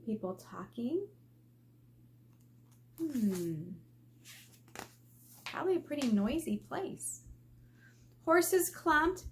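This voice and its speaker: A woman teacher reading aloud to children in an expressive way, asking questions and drawing out key words for emphasis. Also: on the high side. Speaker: elementary school teacher. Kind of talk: read-aloud lesson for children. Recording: faint background noise.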